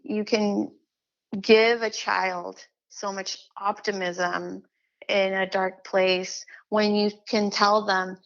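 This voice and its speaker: A middle-aged woman from Chile talking as an interview guest, animated, with many restarts and fillers. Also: mid-range voice, slow pace, gravelly, dull audio. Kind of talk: casual interview chat.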